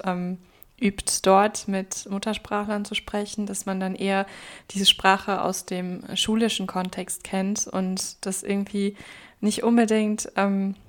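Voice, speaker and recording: Young woman, bilingual German-Russian, podcast co-host, even monotone, reflective with frequent ums. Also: medium pitch. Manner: thoughtful, many hesitant fillers. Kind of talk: casual conversation.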